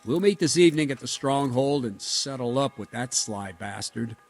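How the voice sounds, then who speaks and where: A man acting a brisk, kindly lord in a medieval video game. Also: mid-range voice, animated, faint music behind, close to an everyday voice.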